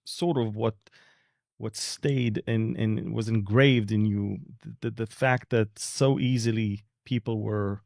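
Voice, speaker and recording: A man's voice: on the low side, steady tone, slow pace, creaky, darkish tone, no room sound, clean studio sound. A man with an Israeli accent hosting a podcast and interviewing a guest in a conversational style.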